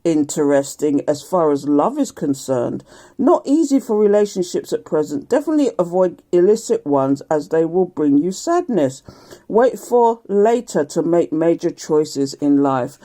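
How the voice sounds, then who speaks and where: Croaky, chatty woman reading tarot. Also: low-pitched, big pitch swings, unhurried, dark tone. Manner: chatty, laughs often, reads aloud from a card booklet. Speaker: tarot reader. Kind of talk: casual daily card reading.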